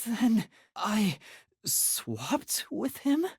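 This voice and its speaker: A man playing an earnest, anguished young detective in training in a video game, with a light, boyish, breathy voice and a hesitant, emotional delivery. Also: high voice, huge pitch swings, drawn-out words, darkish tone, dry recording, clean studio sound, exaggerated voice. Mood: shocked, questioning. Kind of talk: dramatic English video game voice acting.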